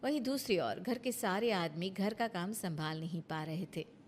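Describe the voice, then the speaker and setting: A woman's voice: on the low side, a little slow, a little hiss or hum. A woman reading scripted story narration as a storyteller.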